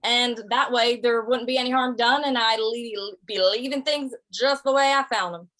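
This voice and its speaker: A woman's voice: a little high, steady tone, moderate pace, a bit bright, natural voice. A woman playing an easygoing, laconic male cowboy in an old-time western radio drama, with a folksy, hesitant, stammering delivery. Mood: folksy, resigned.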